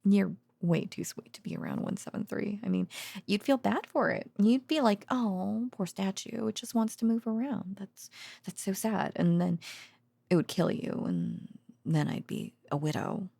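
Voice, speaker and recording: A woman's voice: medium pitch, sing-song, moderate pace, vocal fry, warm tone, dry recording. A woman playing a tired, teasing, affectionate researcher girlfriend in an intimate bedtime audio roleplay, rambling hesitantly with wry sarcasm. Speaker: voice actor.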